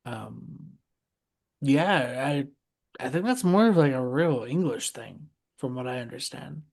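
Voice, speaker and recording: A laid-back man talks on a podcast in a middle pitch. He swears casually and strings his thoughts together with ums and likes. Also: lively intonation, unhurried, creaky, a little mellow. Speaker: podcast co-host. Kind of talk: casual chat.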